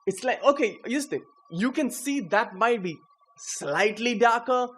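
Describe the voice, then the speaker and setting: A young man giving a casual, slangy walkthrough of his code, excitable and self-deprecating. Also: extremely high pitch, measured pace. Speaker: coder presenting own project. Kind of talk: casual screen-recorded coding walkthrough.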